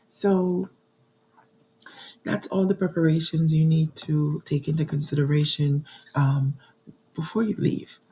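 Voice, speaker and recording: A woman in her forties hosts her own vlog, hoarse from a cold, with a gravelly, husky, mellow voice. She talks straight to her viewers in a chatty way, with plenty of ums. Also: low voice. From New York. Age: 40s. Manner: chatty, addresses viewers directly, frequent ums. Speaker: budgeting channel host. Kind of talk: casual talking-to-camera vlog.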